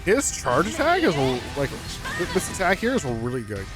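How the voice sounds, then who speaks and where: A man's voice: a little high, huge pitch swings, normal speed. A man chatting casually as he commentates on a video game, thinking aloud in loose, rambling asides. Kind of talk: casual chat over gameplay. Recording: game music under the voice. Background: video game music.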